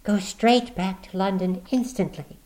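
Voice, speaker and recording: A man's voice: measured pace, darkish tone. A man doing a lisping, urgent young woman. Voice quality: low eager voice with a lisp. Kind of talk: audiobook character reading.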